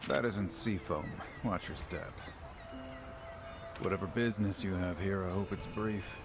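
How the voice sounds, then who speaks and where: Low-voiced, wary swordsman. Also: measured pace. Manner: terse, guarded, dry. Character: wary, gruff swordsman bodyguard, adult male, on edge. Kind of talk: video game voice acting. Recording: music behind the voice.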